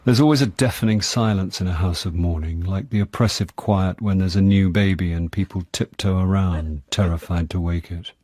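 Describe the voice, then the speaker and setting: An actor with an English accent playing a rueful, boozy amateur sleuth, narrating a radio drama in a wry, self-deprecating way. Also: male voice, deep voice, normal speed, hardly any pauses, mellow, no room sound, clean studio sound.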